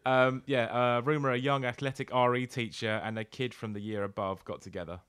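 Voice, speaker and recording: A man's voice: medium pitch, flat delivery, a little fast, a bit bright, clean tone, no room sound, clean studio sound. A British man co-hosting a podcast, chatting casually and telling rumours in an informal, sweary, joking way. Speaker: podcast co-host.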